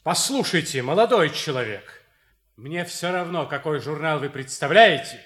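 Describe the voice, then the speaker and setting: Brusque military son, sharp lively voice. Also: male voice, a little high, moderate pace. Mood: threatening. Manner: curt, scornful. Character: brusque, hot-headed son with military bearing. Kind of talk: theatrical radio play acting.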